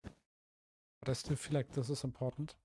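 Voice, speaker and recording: A young man from Germany, a streamer with a rough, slightly hoarse voice, thinking aloud hesitantly with many restarts while he builds in a game. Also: mid-range voice, little pitch movement, a little slow, mellow, slight background noise. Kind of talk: casual live-stream commentary while building.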